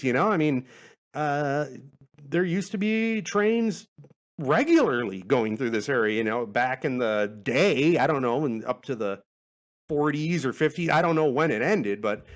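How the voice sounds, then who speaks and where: A man hosting a casual solo podcast, meandering through listener questions in a folksy, self-amused way. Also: fairly high pitch.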